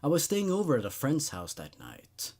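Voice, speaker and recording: Man as tormented psychiatric patient, intense, strained, accusatory, animated swings, horror storybook character. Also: a little high, moderate pace, pitched above the performer's own voice. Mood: desperate. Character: tormented, unhinged male nightmare patient.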